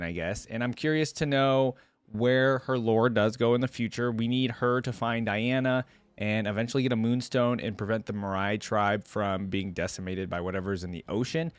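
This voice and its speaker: A man's voice: on the low side, dry recording. A young man hosting a reaction video, giving casual commentary and musing aloud in an informal way.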